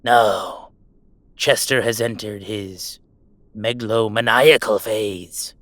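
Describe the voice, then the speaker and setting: A man's voice: fairly low pitch, steady tone, slow pace, fairly bright tone, dry recording. A man playing a goofy young werewolf streamer in an intimate roleplay audio drama, talking in casual, mock-grandiose banter with small hesitations. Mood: playful, mock-grandiose. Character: goofy young male werewolf streamer, playful, defiant about family. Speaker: game streamer.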